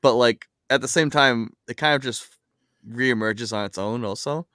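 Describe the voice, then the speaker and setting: A man in his thirties explaining things in a casual discussion, halting at times and then rushing ahead. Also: mid-range voice, a bit bright, dry recording, studio quality. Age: 30s. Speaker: podcast guest.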